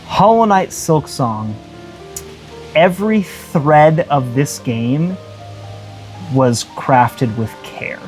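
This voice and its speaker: A man's voice: mid-range voice, darkish tone. A man co-hosting a game review show, speaking conversationally in an earnest, emphatic way.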